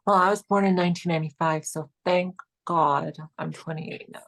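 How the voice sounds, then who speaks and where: A woman's voice: on the low side, steady tone, moderate pace, vocal fry, a bit bright. A Georgian woman in her late twenties chatting casually as a guest, rambling and saying "like" a lot. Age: 20s. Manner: rambling, filler-heavy, keeps saying "like". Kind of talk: casual chat.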